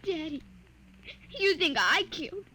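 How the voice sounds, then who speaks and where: An actress playing a plaintive, stubborn nine-year-old girl in a 1950s radio drama, speaking haltingly. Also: very high voice, very animated, sharp tone, a little hiss or hum, exaggerated voice, rough recording. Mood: distressed. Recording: vintage 1950s radio recording with music.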